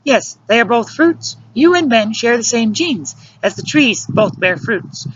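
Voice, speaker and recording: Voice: medium pitch, expressive, measured pace, muffled recording, a little hiss or hum, voice pushed up, natural voice. A narrator voicing an exasperated, bossy woman who lectures sharply in formal wording, in an audiobook story. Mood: insistent reasoning. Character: exasperated, scolding adult woman, fierce and bossy lover.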